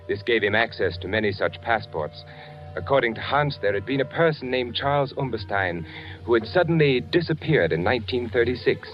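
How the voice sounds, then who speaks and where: An actor playing an anxious spy posing as a German architect, narrating and brooding aloud in 1940s radio drama style. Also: male voice, on the low side, lightly acted. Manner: brooding, questioning himself aloud. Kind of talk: 1940s radio acting, first-person narration and dialogue. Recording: muffled 1940s radio recording, music behind.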